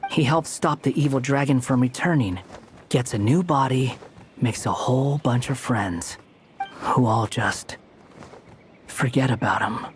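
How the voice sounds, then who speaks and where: A man's voice: mid-range voice, measured pace, background noise, natural voice. A man voicing a curt sarcastic young wanderer. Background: game music.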